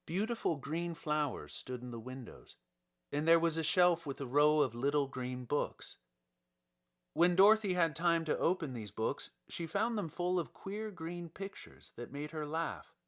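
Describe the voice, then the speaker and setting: A man's voice: a little high, big pitch swings, measured pace, a bit bright. A man reading a children's storybook aloud as scripted audiobook narration. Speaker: audiobook reader.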